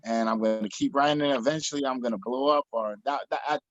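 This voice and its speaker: Brief: high-energy man in his thirties, dry webcam sound, lots of restarts. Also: quick pace, dry recording, dull audio, faint music behind. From Jamaica. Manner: energetic, animated storytelling with many restarts. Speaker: guest. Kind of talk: video call interview. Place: small room in front of a printed backdrop. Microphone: laptop or webcam on video call.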